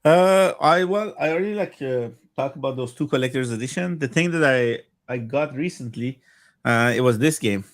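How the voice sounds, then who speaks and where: A man from Iran whose native language is Farsi, chatting casually as a podcast guest in halting, repetitive English. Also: medium pitch, animated, no room sound. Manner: halting, repetitive phrasing as a second-language speaker. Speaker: podcast guest, video game collector. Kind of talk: casual chat.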